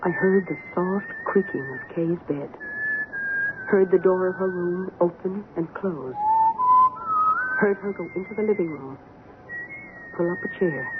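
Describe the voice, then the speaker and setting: A woman's voice: fairly low pitch, natural voice. An actress playing a sensible woman who recounts her housemate's eerie obsession in an earnest, suspenseful radio drama style. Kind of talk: old-time radio drama acting. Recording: noisy, narrow-band old radio recording.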